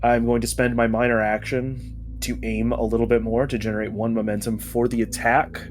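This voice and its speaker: A man's voice: mid-range voice, flat delivery, a little fast, over music. Casual man playing a tabletop game.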